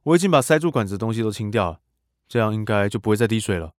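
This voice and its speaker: An actor playing a polite but secretly scheming young student in a radio drama, with a Taiwanese Mandarin accent. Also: male voice, on the low side, expressive, very fast, no room sound, studio quality, natural voice. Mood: polite, helpful. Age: young adult. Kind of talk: radio drama acting with inner monologue.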